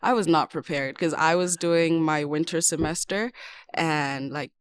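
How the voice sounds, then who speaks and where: A woman's voice: deep voice, measured pace, smooth, no echo. Chatty young woman from Zimbabwe. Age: young adult. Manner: chatty, with many "like" fillers. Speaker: guest. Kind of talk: casual interview chat.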